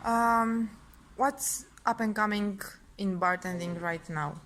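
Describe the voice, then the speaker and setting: Woman, Romanian accent, interviewer, bright forward voice, hesitant phrasing, faint background noise. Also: mid-range voice, slow pace. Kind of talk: candid interview.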